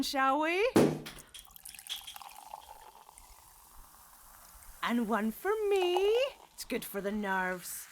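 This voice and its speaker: A woman playing a chatty, motherly middle-aged housekeeper in a gently comic audio drama, with a bustling, colloquial, exclamatory manner. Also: fairly high pitch, slight background noise. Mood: bustling, fussing.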